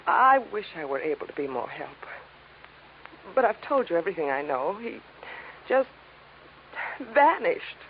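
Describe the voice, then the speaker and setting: A woman's voice: fairly low pitch, sing-song, a little slow, pauses often. An actress playing a troubled, refined woman in an old radio detective drama, speaking in a formal, hesitant way. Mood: anxious, pleading. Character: troubled, refined woman anxious for missing brother. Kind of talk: 1950s radio drama acting. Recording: tinny, noisy old-time radio recording.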